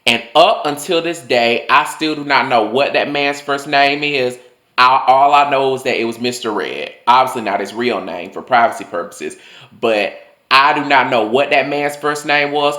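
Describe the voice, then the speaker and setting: A young man telling personal stories in a loose, chatty way, his voice swinging higher and lower as he talks. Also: normal speed, sharp tone, echoey room. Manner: chatty, loose, full of "like" and swearing. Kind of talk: casual storytelling monologue.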